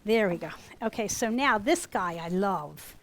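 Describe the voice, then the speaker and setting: A woman with a US East Coast accent teaching a gourd craft class live to a small group, talking loudly and chattily. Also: mid-range voice, measured pace. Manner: loud, chatty, animated. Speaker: gourd art instructor. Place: workshop room with a small audience.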